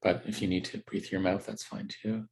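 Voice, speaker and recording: A man teaching a guided meditation in a gentle, unhurried, conversational way with halting pauses. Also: lowish voice, level tone, creaky.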